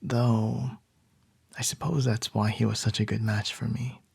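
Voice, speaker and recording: A man playing a calm, charming male CEO boss in an ASMR roleplay, soft and reassuring with a light teasing edge. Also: mid-range voice, a little slow, gravelly, husky, no echo, full character voice. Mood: soothing.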